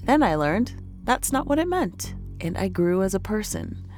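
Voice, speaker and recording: A woman's voice: mid-range voice, sing-song, moderate pace, darkish tone, soft background music, close to an everyday voice. A woman playing an anxious, blunt pregnant woman recording a candid, wry confessional diary for an audio drama. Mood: candid, uneasy.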